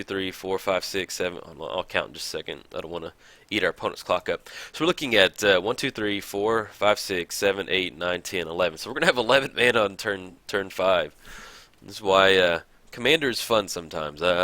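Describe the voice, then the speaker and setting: Deep-voiced man mulling over card plays. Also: no room sound. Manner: thinks aloud with many fillers, counts mana out loud. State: a bit raspy from recording in the morning. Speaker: card game video host. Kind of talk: casual gameplay commentary.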